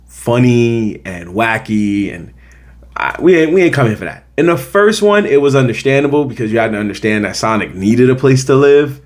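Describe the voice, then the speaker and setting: An American man hosting a film review, talking casually and emphatically, repeating his points to drive them home. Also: mid-range voice. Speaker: film review show host. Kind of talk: casual opinionated movie review to camera.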